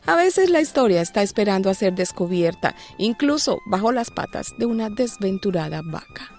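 A woman's voice: mid-range voice, very animated, moderate pace, over music. A woman hosting a light news segment, reading scripted stories with playful, joking asides. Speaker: news show host. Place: lives in Australia.

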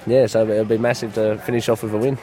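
A man's voice: fairly low pitch, quick pace, rough recording. A man from New South Wales, a footy player, chatting casually in a vox pop interview with many fillers. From New South Wales, Australia. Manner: relaxed, friendly, filler-heavy.

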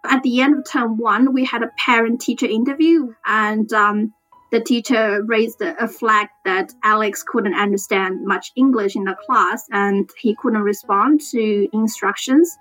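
A woman's voice: on the high side, steady tone, a little slow, fairly bright tone, no room sound, faint music behind, rough recording. A woman from China, a Mandarin speaker, giving an earnest personal account as a parent in English. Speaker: interviewed parent.

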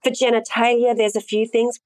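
A woman's voice: a little high, little pitch movement, a little fast, a little mellow, smooth, no echo. A woman, an intimacy coordinator, talking through her work frankly in a casual interview and laughing easily.

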